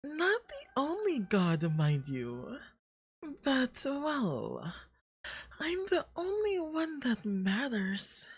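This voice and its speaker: Someone doing a smug femboy god for asmr, high and swoopy, super full of himself, flirty and possessive, breathy. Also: wildly swooping pitch, exaggerated voice. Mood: smug, boastful.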